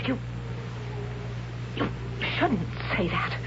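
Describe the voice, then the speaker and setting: An actress playing a proud, blunt young huntress in a radio drama, matter-of-fact and puzzled by feeling. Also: female voice, extremely slow. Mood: stern. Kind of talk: 1970s radio drama acting. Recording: noisy, dull 1970s radio broadcast with hum.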